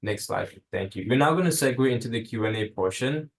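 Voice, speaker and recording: A man's voice: medium pitch, expressive, fairly quick. A young man from Singapore, a student leader giving an upbeat, encouraging presentation from notes. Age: young adult. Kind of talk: scripted presentation on a video call.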